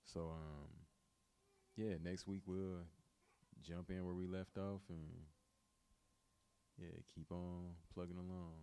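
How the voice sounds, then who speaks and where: A man's voice: low-pitched, level tone, takes it slowly, pauses often, warm tone, clean tone. A man leading an informal Bible study, teaching and retelling in colloquial, repetitive everyday speech.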